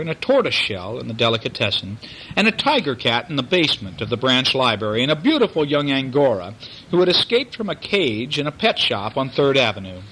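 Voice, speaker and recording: A man reading a bedtime story aloud to a young child, expressive and explanatory, with chatty asides. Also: medium pitch, a little hiss or hum, low-quality recording.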